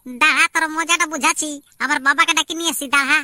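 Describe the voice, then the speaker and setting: A woman's voice: high-pitched, fairly even intonation, fast talker, sharp tone, no echo. A woman voicing an indignant, tattling little village boy in a comic cartoon, with a rural Purulia Bengali accent. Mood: threatening. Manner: scolding, tattling. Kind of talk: amateur comic cartoon dialogue.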